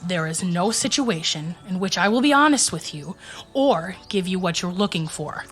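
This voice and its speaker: A woman's voice: fairly low pitch, animated, moderate pace, faint music behind, close to an everyday voice. A woman playing an evasive, stubborn, distrustful fantasy traveler with a curt, guarded manner. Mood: defiant.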